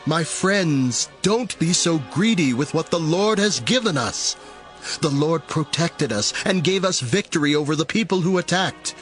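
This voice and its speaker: A devout warrior leader in an audio scripture drama. The voice sits somewhat high and declaims with earnest command. Light music plays underneath. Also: male voice, lively intonation, measured pace, a bit bright. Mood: firm, rebuking.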